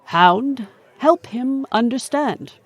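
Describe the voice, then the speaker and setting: An actress playing an imperious supernatural boss in an audio drama, formal and commanding with cool menace. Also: female voice, fairly high pitch, expressive, slow. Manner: formal, commanding, coolly threatening.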